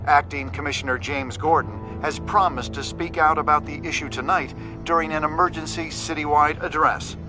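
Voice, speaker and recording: An actor playing a serious television news reporter in a video game, delivering a formal, grave scripted report. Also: male voice, on the high side, fairly even intonation, normal speed, few pauses, music in the background, natural voice.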